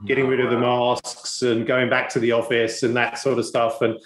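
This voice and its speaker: A man hosting a conversational interview, speaking haltingly with repeated words and frequent "you knows". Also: mid-range voice, flat delivery, a crowd in the background. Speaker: podcast host. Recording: echoey room.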